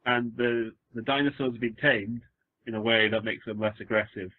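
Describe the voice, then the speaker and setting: A man's voice: mid-range voice, steady tone, moderate pace, no room sound. Welsh man on a tinny podcast line. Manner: rambling, joking, trails off and restarts. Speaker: podcast co-host. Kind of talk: casual podcast chat. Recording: phone-like lo-fi dry sound.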